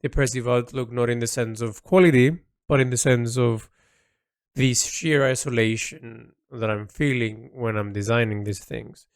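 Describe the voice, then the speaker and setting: A man speaking a reflective audio diary as an artist, halting and introspective as he searches for words. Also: medium pitch, fairly even intonation, dry recording, studio quality. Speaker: artist recording an audio log.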